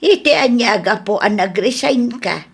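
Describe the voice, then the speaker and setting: A woman's voice: mid-range voice, animated, normal speed, gravelly, breathy edge. A woman playing a stern, suspicious female authority figure with an Ilocano Filipino accent, questioning bluntly in a radio drama.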